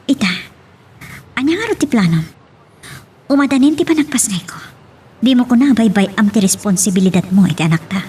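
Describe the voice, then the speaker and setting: A woman's voice: on the high side, fairly quick, pauses often, slightly rough. A woman with a Filipino accent playing an emotional young expectant mother in an amateur audio drama, with noise behind her. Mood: pleading. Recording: steady background noise.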